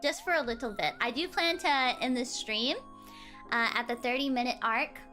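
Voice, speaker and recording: A woman's voice: a little high, expressive, moderate pace, pushed and bright. A young woman hosting a guest on her stream, giggly and gushing in casual chat. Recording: faint music behind the voice.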